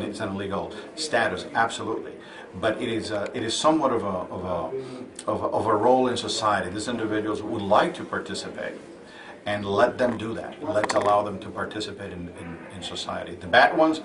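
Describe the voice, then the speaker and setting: A man's voice: fairly low pitch, roomy sound, distant mic. Earnest man over a crowded convention floor. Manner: earnest, repeats words while searching for phrasing. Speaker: congressional candidate. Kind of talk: conversational interview answers. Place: busy convention hall.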